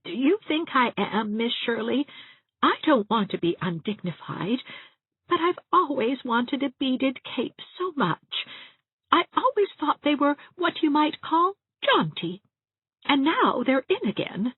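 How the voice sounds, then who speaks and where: Genteel older aunt a female narrator's character, timid and plaintive, high swooping voice, thin narrow-band audiobook sound. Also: very animated, unhurried, slightly rough, no room sound, heavily acted. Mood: wistful. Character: timid, wistful older aunt, girlish and easily hurt.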